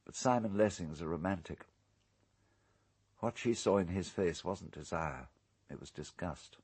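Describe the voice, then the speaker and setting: An actor playing an urbane, calculating English island owner in a radio drama, speaking in a measured, precise, coolly unrepentant way. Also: male voice, low voice, animated, a little mellow, husky, heavily acted. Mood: wry, knowing.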